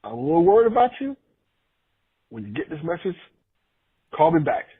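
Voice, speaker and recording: A man playing a worried, blunt caller with a husky voice, leaving an urgent voicemail in an audio drama. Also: fairly high pitch, very animated, brisk, dry recording. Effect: phone voicemail. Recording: tinny, narrow phone voicemail.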